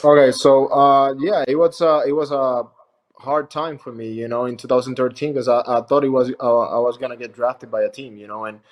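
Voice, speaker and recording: A young man from Rico, answering interview questions as a baseball pitcher in a halting, friendly way. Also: steady tone. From Puerto Rico. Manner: halting, many fillers and restarts, friendly.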